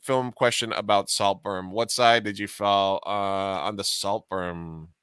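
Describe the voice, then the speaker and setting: A man co-hosting a casual live-streamed show, talking in a relaxed, chatty way and often repeating words while he thinks. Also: lowish voice, measured pace, clean tone, no room sound, clean studio sound. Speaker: podcast co-host.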